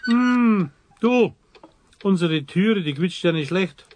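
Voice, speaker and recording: Grumpy, higher-pitched Bavarian husband. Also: male voice, lively intonation, slow, darkish tone, a little hiss or hum. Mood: grumbling. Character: grumpy husband, a plumber at lunch. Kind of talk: children's radio play acting.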